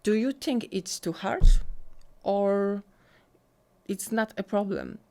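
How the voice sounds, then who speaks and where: A woman's voice: medium pitch, slow pace, gravelly, warm tone. A woman who hosts a talk show and asks her guest questions. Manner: encouraging, frequent brief affirmations. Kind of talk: interviewing a guest.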